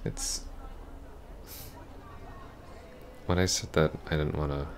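A man playing a heartbroken, apologetic ex-boyfriend in an intimate ASMR roleplay, soft-spoken, halting and stammering. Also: rumbling low, steady tone, a little hiss or hum. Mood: hesitant, apologetic.

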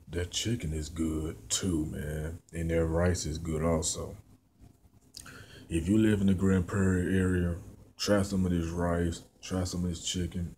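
A man with a Memphis Southern accent talks in a very low, even drone, rambling and repeating himself as he reviews food while eating. He films himself on a phone held at arm's length in a plain indoor room. A faint hiss sits under his voice. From born in Memphis, raised in Mississippi. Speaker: vlogger. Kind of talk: casual food review vlog. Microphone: handheld phone camera at arm's length.

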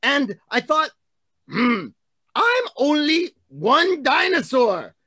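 High, excitable dinosaur puppet. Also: male voice, takes it slowly, no room sound, exaggerated voice. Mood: earnest storytelling. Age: middle-aged. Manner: exaggerated, theatrical delivery. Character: excitable, big-hearted male dinosaur hand puppet. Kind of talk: puppet storytelling for children. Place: room at home. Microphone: video call, computer mic.

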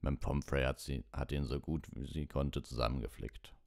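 A man's voice: a bass voice, fairly even intonation, normal speed, darkish tone, dry recording, clean studio sound. A man voicing a huge, drunk, dejected groundskeeper in a storybook, with a thick, slurred voice. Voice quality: thick, muffled voice.

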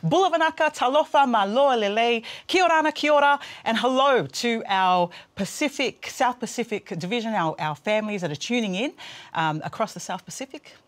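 A woman's voice: a little high, lively intonation, normal speed. A woman in her thirties from Australia, co-hosting a church broadcast in a studio, chatty with fillers and laughs. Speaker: co-host. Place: studio with blue screens.

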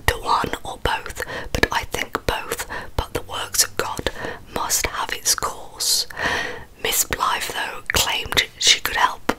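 A woman in her thirties tells a scripted story in a breathy, unvoiced ASMR whisper, close to the microphone in a small bedroom. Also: low-quality recording. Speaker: ASMR host. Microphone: large microphone close to the mouth.

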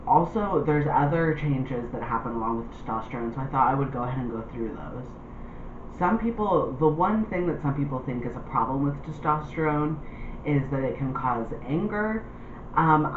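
A young adult man talking casually to camera as a vlogger. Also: medium pitch. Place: small indoor room. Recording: thin phone-like sound, roomy, clear noise.

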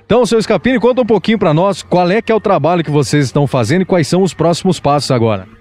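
A man's voice: high voice, lively intonation, darkish tone. A young man with a Brazilian Portuguese accent reporting outdoors on a dirt road with a handheld mic. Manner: brisk reporter's delivery with filler restarts. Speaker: local radio and web TV reporter. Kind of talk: field news report. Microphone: handheld microphone, masked.

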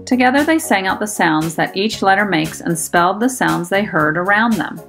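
A woman reading a children's storybook aloud with expressive, careful enunciation for young listeners. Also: mid-range voice, moderate pace. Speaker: storybook reader. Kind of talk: scripted children's storybook narration. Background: music.

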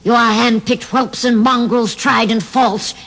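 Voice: medium pitch, fairly even intonation, measured pace, a bit bright. A performer voicing a scheming male devil tyrant, oily and wheedling, with theatrical asides in a radio drama.

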